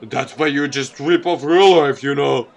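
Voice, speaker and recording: A man's voice: mid-range voice, measured pace, close to an everyday voice. Scraggly drifter voiced by a man, jaded, teasing, grumbly, for a game read-aloud. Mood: nonchalant. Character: scraggly, grumbling, amused male drifter who writes books.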